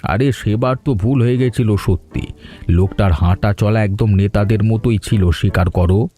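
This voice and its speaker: A man's voice: lowish voice, moderate pace, warm tone, faint music behind, close to an everyday voice. A man playing a chatty, boastful village busybody in a cartoon. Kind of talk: cartoon dialogue dub.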